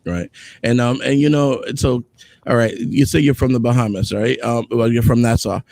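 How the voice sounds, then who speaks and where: A middle-aged man hosting a casual interview over a video call, asking questions in an unhurried, halting way, close to a desktop microphone. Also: mid-range voice, steady tone, darkish tone, no room sound. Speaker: host, interviewer.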